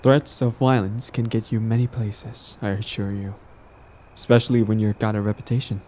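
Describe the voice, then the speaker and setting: A man's voice: fairly low pitch, warm tone. A man playing a lovestruck, persistent villain in an intimate romantic audio roleplay, talking casually and coaxingly to the listener, smug yet earnest. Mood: smug, persuasive.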